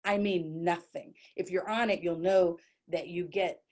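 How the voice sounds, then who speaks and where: A woman's voice: fairly low pitch, steady tone, measured pace, a little mellow. Chatty, self-mocking woman telling a comic story. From Dallas, Texas. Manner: chatty, self-mocking, lots of likes and restarts. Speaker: solo performer.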